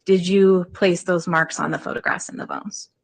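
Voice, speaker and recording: Soft-spoken woman with a gravelly voice. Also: fairly low pitch, fairly even intonation, moderate pace. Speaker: prosecutor. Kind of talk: courtroom questioning. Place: courtroom.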